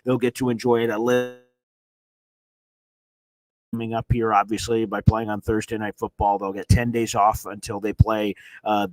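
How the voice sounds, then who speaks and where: A hoarse man, his voice worn thin from screaming, hosts a live reaction stream. He rambles excitedly with lots of "uh"s, in a lowish voice. The sound is dry and close, with no room echo. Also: normal speed, long pauses. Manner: excited, rambling, with frequent "uh" fillers and restarts. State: hoarse, voice nearly gone from screaming. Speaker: sports reporter and livestream host. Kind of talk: live sports reaction livestream. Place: hotel room. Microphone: streaming setup on weak hotel wifi.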